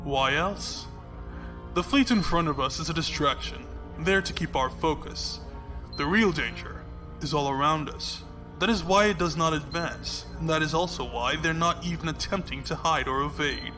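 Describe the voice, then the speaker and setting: Man playing a shrewd, higher-voiced fleet commodore. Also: over music, natural voice. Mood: decisive. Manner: measured, probing, authoritative. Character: composed, shrewd male space fleet commodore. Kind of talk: audio drama acting.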